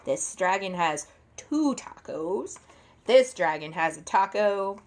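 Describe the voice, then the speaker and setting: A woman in her thirties reading a children's picture book aloud at home, expressively, with theatrical emphasis and deliberate phrasing. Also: medium pitch, expressive. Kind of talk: animated read-aloud to children. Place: indoor room at home, seated at a table.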